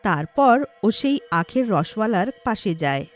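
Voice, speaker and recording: A woman narrates a scripted moral story. Her mid-range voice moves up and down in an animated way, with faint music playing behind it. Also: medium pitch, unhurried.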